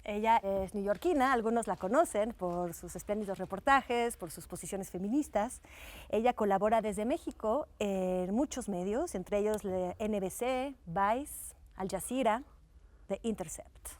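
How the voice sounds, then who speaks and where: A woman's voice: medium pitch, unhurried, a little mellow. Upbeat woman hosting a talk panel. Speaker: host.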